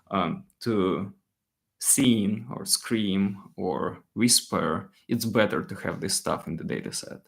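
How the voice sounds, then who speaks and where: Gravelly low-voiced man answering questions. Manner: halting, many fillers and restarts, reads questions aloud. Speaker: company CEO answering audience questions. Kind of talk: live Q&A, explaining technology.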